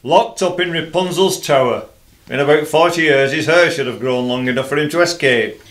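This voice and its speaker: A man's voice: fairly high pitch, moderate pace, fairly bright tone, natural voice. An amateur actor playing a cheeky, bumbling male outlaw in a comic radio play. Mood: bold.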